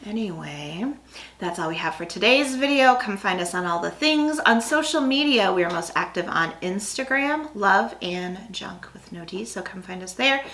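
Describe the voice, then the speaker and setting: A woman's voice: medium pitch, animated, normal speed, fairly bright tone. A woman, co-hosting a snack review and chatting casually in a rambling way. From Midwestern United States. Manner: chatty and rambling, with fillers and asides. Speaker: food review channel co-host. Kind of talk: casual chat while tasting snacks. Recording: echoey room.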